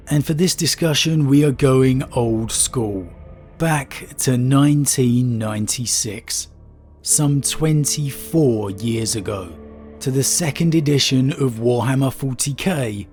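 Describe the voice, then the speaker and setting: Man, congested from a cold, steady measured narration, ordinary middle pitch, soft music underneath. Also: husky. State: stuffy nose from a cold. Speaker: YouTube lore channel host. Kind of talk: reading aloud and discussing wargame lore.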